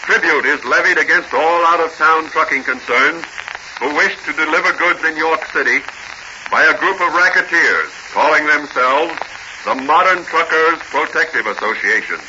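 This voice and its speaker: A man's voice: on the high side, normal speed, noisy background, natural voice. A man narrating a crime-fighter radio serial as a dramatic, declamatory announcer in 1940s radio style. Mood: brisk, grave. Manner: theatrical, declamatory. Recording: muffled old 1940s radio recording, music behind.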